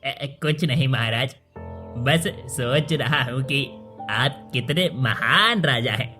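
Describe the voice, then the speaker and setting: A man acts out a sly, greedy minister of a royal court in a cartoon tale. His voice is forceful and sharp, servile on the surface and conniving underneath, with music playing behind it. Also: mid-range voice, slow. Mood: servile, grumbling. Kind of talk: Hindi cartoon story acting.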